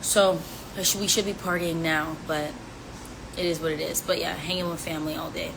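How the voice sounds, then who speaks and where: A woman's voice: low-pitched, steady tone, a little fast. Chatty woman in her twenties on a live stream. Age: 20s. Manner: chatty, teasing, playful rhymes. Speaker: livestream host answering fan questions. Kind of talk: casual chat on a live stream. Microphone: phone. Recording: audio from a phone live stream with background noise.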